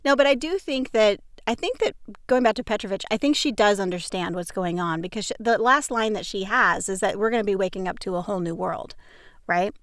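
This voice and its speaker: A woman's voice: mid-range voice, brisk, a bit bright, dry recording, studio quality. A woman in casual panel chat, playful and bantering with the others as a cast member. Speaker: podcast panel member, cast of an audio drama.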